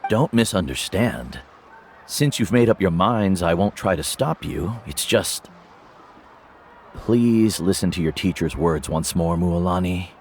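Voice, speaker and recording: An actor voicing a calm, protective older male mentor in a video game, who speaks slowly and gently. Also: low-pitched, a little hiss or hum. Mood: earnest. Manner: slow, measured and gentle. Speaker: teacher and guide. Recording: game audio with faint music. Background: soft game music.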